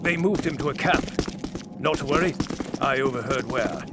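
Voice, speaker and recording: An actor playing a genteel, unflappable British army captain in a war video game, polite and understated in manner. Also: male voice, medium pitch, normal speed, close to an everyday voice. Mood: wry, composed. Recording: very noisy game battle audio.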